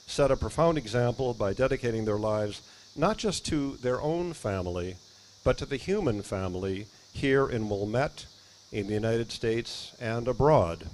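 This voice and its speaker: A man delivers prepared remarks at an outdoor ceremony in a steady, measured way. His voice sits at an ordinary middle pitch. Faint crickets and outdoor hum sit behind him. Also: rough recording. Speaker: family representative speaker. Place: outdoors at a ribbon cutting.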